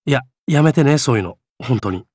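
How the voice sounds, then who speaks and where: A man playing an affable, gentle gentleman adventurer in a game, speaking politely and breezily. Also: mid-range voice, lively intonation, brisk, husky, dry recording, studio quality. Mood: easygoing. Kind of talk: game character voice lines.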